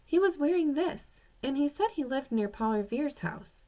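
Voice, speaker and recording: Curious, earnest kid-detective girl from a storybook, lifted pitch played by a female reader. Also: lively intonation, moderate pace, mellow. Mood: eager, curious.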